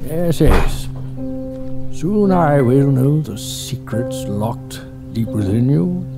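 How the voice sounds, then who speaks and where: A man's voice: mid-range voice, animated, slow. An actor playing an obsessive retired male doctor in an audio drama, speaking in a clipped manner. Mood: obsessed. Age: older. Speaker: retired scholar. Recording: music score underneath.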